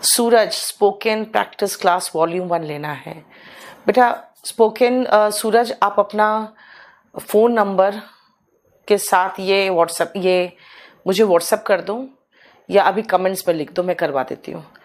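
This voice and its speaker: A woman's voice: medium pitch, slow. Woman teacher with a scratchy sore throat, talking to her students like a mom, hurrying them along. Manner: motherly, calls students "beta", coaxing them to hurry; clears her throat often. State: hoarse. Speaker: English teacher. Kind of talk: live online class, chatting with students.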